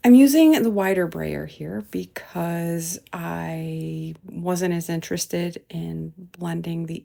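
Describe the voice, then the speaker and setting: A woman narrating a craft demonstration in an unhurried, explanatory, mildly self-deprecating way. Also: low voice, vocal fry, darkish tone. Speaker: art demonstrator and host. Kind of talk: instructional craft demonstration voice-over.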